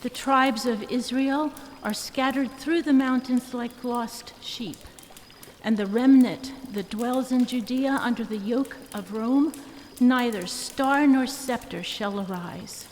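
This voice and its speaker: Woman reading as slow, stern, skeptical Magus. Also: fairly high pitch. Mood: grave. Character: skeptical, dismissive Magus, stern and worldly-wise. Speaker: amateur church reader. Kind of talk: dramatic reading of a Christmas story. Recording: echoey hall reverb with background noise.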